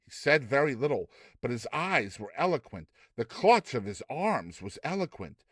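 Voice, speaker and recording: A man narrating classic fiction as a dramatized audiobook, with measured, theatrical storytelling. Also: medium pitch, big pitch swings, fairly bright tone, no echo, clean studio sound. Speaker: narrator, reader.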